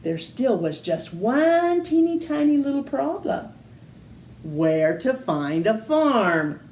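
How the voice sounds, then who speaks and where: A woman reading a picture book aloud to children in an expressive storytelling manner. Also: on the high side, slow. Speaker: storytime reader. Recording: echoey room.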